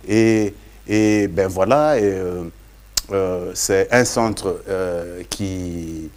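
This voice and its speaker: A man with a Congolese accent explains himself as an interview guest, haltingly, often repeating words. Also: low voice, darkish tone. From Democratic Republic of the Congo. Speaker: interview guest, parliamentary candidate.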